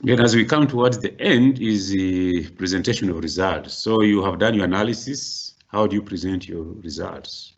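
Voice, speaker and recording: Deep gravelly male lecturer, muffled audio. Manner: explains slowly with many restarts and rising stresses. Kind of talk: university workshop lecture.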